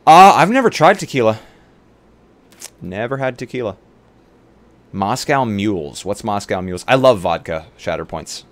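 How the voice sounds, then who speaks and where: A man streamer chatting casually on a livestream in a halting way, often restarting his sentences. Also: fairly low pitch, sing-song.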